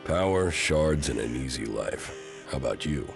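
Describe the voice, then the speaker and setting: A man playing a stoic, hardened commander in a post-apocalyptic video game, gravelly, terse and deadpan. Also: a bass voice, moderate pace, music in the background, full character voice.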